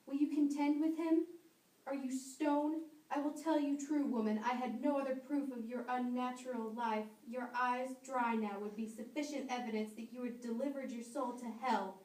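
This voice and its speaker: A distant, roomy recording of a woman as an unbending Puritan judge. The voice is fairly high and declaims with pompous command, as in an amateur stage drama. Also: darkish tone, lightly acted. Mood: scornful, demanding. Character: stern, unbending male judge in Puritan times. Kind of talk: amateur stage-play acting. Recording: echoey room, distant mic.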